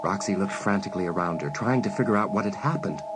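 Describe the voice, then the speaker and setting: Animated male storyteller over music. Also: sits low, fast. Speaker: audiobook narrator. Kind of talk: dramatic scripted story narration. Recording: music bed under narration.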